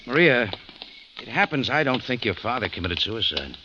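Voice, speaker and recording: A man's voice: medium pitch, sing-song, moderate pace, background noise, close to an everyday voice. An actor playing a tough, wry hero who narrates his own story in a laconic, hard-boiled 1940s radio style. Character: tough, wry man running a cafe, first-person narrator. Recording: dull old radio recording.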